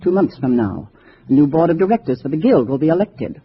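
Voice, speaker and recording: An actress playing a calculating communist cell leader who gives businesslike orders in 1950s radio drama style. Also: female voice, low voice, sing-song, a little fast, slight background noise. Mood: firm warning. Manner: businesslike, instructing. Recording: dull vintage radio recording.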